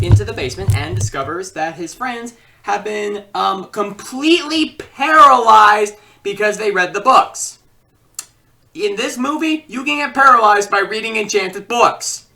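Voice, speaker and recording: A woman's voice: mid-range voice, sharp tone, distant mic. A woman narrating an informal comedic review as a video reviewer, with joking, mock-dramatic asides.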